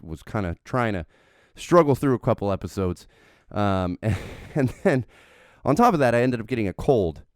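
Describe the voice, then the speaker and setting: A man's voice: fairly low pitch, a little mellow, clean tone, dry recording, clean studio sound. A married man hosting his own solo podcast, talking casually and rambling a bit, sounding slightly nasal from an ear infection. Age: adult, married father. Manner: rambling, self-correcting, candid. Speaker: podcast host. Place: home studio. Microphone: studio microphone with headphones.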